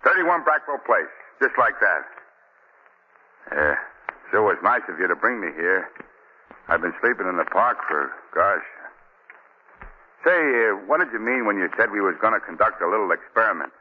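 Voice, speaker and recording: Animated, chatty down-and-out man. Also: lowish voice, moderate pace, long pauses, husky. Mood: chatty, curious. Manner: rambling, folksy, ungrammatical talk. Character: chatty, naive down-and-out man, turning frantic. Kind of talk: 1940s radio acting. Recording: dull, noisy 1940 radio recording.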